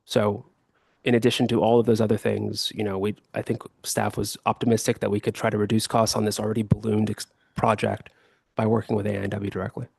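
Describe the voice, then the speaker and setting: A hoarse man serving as city attorney, explaining a staff report at a council meeting with frequent fillers and restarts. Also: fairly low pitch, flat delivery, fast talker, darkish tone, no room sound, clean studio sound.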